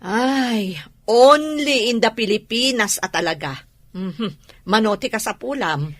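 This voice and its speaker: An actress with an Ilocano accent playing a fussy, exasperated aunt home from abroad in a comedy drama. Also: female voice, on the high side, animated, slow, a bit bright, dry recording, exaggerated voice. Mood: drowsy, exasperated.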